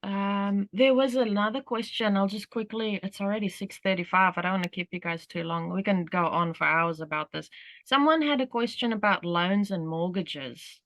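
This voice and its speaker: A South African-born woman who sounds Australian leads a group call, talking casually and wandering from point to point with plenty of "you know". Her voice sits at a middle pitch and is recorded dry and close, with no room around it. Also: normal speed, fairly bright tone, dry recording. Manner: rambling, many "you know" fillers. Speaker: workshop host.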